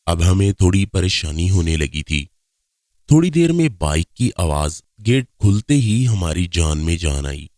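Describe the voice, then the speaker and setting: A man's voice: low voice, no room sound, studio quality. A man reading a scripted first-person story as its narrator.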